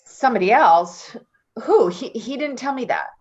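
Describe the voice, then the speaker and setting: A woman's voice: a little high, expressive, normal speed, breathy edge. A woman playing a breezy, evasive, self-serving friend in an offhand, casual play reading. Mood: defensive. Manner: offhand, giggly, hedging. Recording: dull lo-fi recording.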